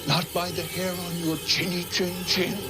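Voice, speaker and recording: An actor playing a deranged, gleefully menacing madman in a horror film, taunting in a drawn-out singsong. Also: high-pitched, flat delivery, over loud music, natural voice. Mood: sinister glee.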